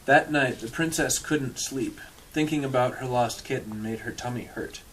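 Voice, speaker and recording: A man with a medium-pitched voice reads a storybook aloud. His delivery is dry and irreverent, with casual asides. There is some faint noise and clicking in the recording. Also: moderate pace, fairly bright tone, low-quality recording. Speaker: storyteller reading a picture book aloud to an audience.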